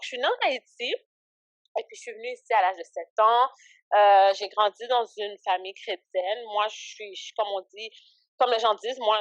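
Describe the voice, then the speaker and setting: A woman's voice: medium pitch, dry recording, lo-fi sound. Chatty woman in her twenties, dry sound. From Haiti, raised in Canada. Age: 20s. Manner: hesitant, many fillers, laughs often. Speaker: guest, ministry founder. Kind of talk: casual interview chat. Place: Ottawa.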